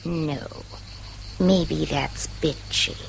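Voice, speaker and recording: A woman playing a sharp-tongued, snobbish, impatient rich wife in a radio drama. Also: low voice, extremely slow, vocal fry, slight background noise, exaggerated voice, rough recording. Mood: cool, pointed. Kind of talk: British stage-style radio drama acting.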